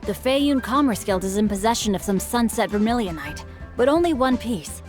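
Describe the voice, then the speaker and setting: Refined, high-voiced boy heir played by a woman. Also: animated, normal speed, a little mellow, close to an everyday voice. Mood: measured, advising. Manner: formal, poised, eloquent. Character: refined, bookish teenage boy, composed merchant heir. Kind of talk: video game voice acting. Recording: music behind the voice.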